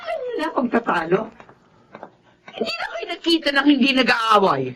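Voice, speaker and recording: A woman's voice: high-pitched, wildly swooping pitch, normal speed, a bit bright, slight background noise, exaggerated voice. A woman playing an older, chiding, emotional peacemaking grandmother in a Filipino radio drama. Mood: chiding, conciliatory. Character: older peacemaking grandmother, firm, pleading mother.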